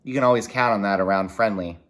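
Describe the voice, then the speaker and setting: A man reading a children's novel aloud in a folksy, expressive way, with short explanatory asides. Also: lowish voice, quick pace. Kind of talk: read-aloud narration with brief explanatory asides.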